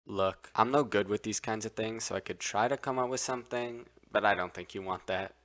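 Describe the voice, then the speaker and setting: A man's voice: fairly low pitch, brisk, a bit bright, natural voice. A man voicing a laid-back young monster coffee seller in a cartoon, with a casual, easygoing manner. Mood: concerned, helpful. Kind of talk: animated web series voice acting.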